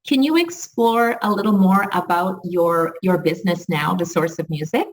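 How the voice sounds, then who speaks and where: A woman's voice: fairly low pitch, moderate pace, far from the mic. An eager, encouraging woman interviews her guest over a video call. Her voice sits a little back from the mic, with a faint hiss of background noise. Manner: enthusiastic, encouraging. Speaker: interview host. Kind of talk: online interview.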